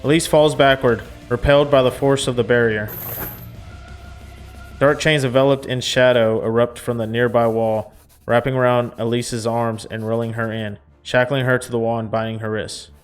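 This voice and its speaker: A man's voice: mid-range voice, level tone, normal speed, clean tone, faint music behind. A man reading a visual novel aloud as a game streamer, mixing narration with casual reactions.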